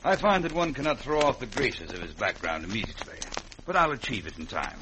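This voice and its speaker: An actor playing a suave, cold-blooded English gentleman gunslinger in an old-time radio western, with pompous, mock-genteel formal speech. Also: mid-range voice, very animated, quick pace, lightly acted. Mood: smug, mock-genteel. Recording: noisy vintage radio recording.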